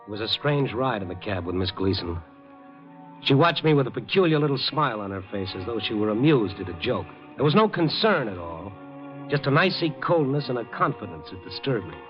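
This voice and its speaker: An actor playing a wary, world-weary newspaperman in a 1950 radio drama, with a dry, deliberate, hard-boiled delivery. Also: medium pitch, big pitch swings, close to an everyday voice. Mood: brooding. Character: probing, wary, world-weary male night-beat newspaperman narrating his case. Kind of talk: 1950 radio drama acting and first-person narration. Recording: dull old radio recording with music.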